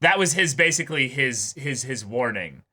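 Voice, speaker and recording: A man's voice: medium pitch, lively intonation, moderate pace. A man giving casual reaction commentary as a show host, exclamatory and sweary, often repeating himself. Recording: loud film noise behind voice.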